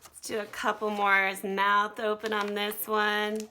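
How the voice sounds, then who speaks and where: A woman's voice: mid-range voice, completely flat delivery, a little slow, bright, forceful tone, a little hiss or hum, poor audio. A woman teaching an art activity to children step by step, speaking directly to her young viewers in an encouraging, instructional way. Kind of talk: step-by-step drawing lesson for children.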